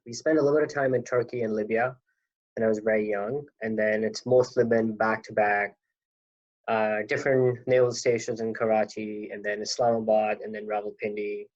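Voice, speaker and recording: A man's voice: on the low side, little pitch movement, moderate pace. A man in his thirties from Pakistan, talking as an interview guest in a rambling, self-correcting way. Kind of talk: casual interview talk. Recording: dull lo-fi audio.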